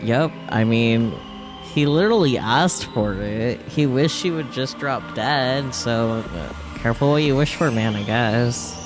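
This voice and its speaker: A man reading visual novel text aloud as a streamer, with wry, offhand asides. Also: mid-range voice. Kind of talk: reading game text aloud with casual commentary. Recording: game music behind the voice. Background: video game music.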